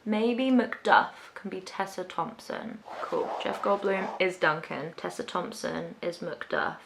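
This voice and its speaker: A woman's voice: lowish voice, a little slow, creaky, background noise. A young British woman talking casually to camera as a vlogger, playful and informal, often thinking aloud. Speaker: vlogger presenting a creative challenge. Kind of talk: casual chatty vlog talk to camera.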